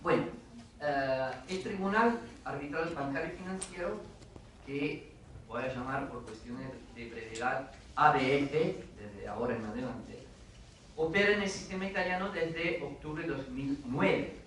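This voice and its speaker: A man's voice: mid-range voice, unhurried, a bit bright, slight background noise. Man lecturing in an echoey hall. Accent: foreign accent in Spanish. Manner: hesitant, many fillers and restarts. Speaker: guest lecturer. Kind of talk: academic lecture. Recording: echoey hall, mic from a distance.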